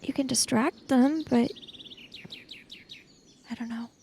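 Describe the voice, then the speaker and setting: A woman's voice: high-pitched, steady tone, slow pace, vocal fry, dark tone, slight background noise. A woman playing a tabletop roleplaying game, talking casually in character and improvising.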